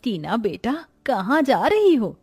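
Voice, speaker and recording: A woman's voice: a little high, wildly swooping pitch, takes it slowly, darkish tone, husky, exaggerated voice. A woman playing a doting wealthy mother in a cartoon story, fussing and coaxing. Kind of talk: Hindi cartoon moral story.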